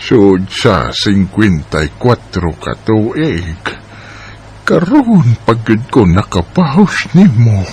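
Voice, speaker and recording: A man acting an elderly, devoted, grieving husband in a Bisaya radio drama, with a Filipino Bisaya accent and a halting, sighing delivery. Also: fairly low pitch, big pitch swings, darkish tone, slight background noise, heavily acted. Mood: tender, halting.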